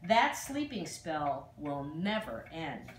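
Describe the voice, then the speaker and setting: A woman's voice: deep voice, big pitch swings, slow pace, heavily acted. An older woman voicing a wicked, vengeful fairy in a storybook, emphatic and threatening. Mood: spiteful resolve. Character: wicked, vengeful female fairy, spiteful and menacing. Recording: distant, echoey room with faint noise.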